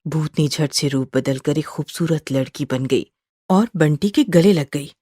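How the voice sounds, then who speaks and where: A woman's voice: sits low, unhurried, warm tone, slightly rough, no room sound, clean studio sound. A woman narrating a scripted horror story with suspenseful, dramatic delivery.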